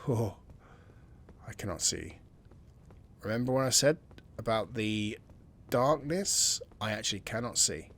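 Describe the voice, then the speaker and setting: A man's voice: lowish voice, lively intonation, a little slow, long pauses, fairly bright tone, a little hiss or hum. A man giving casual let's-play commentary, musing and thinking aloud, sometimes dropping to a near-whisper. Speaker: gameplay commentator.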